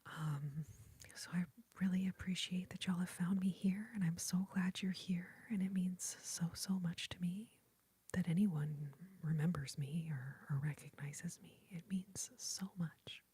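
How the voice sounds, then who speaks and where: A woman hosting an ASMR livestream, talking to viewers in a soft, heartfelt whisper into a close microphone. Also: fairly low pitch, fairly even intonation, a little slow, slightly rough.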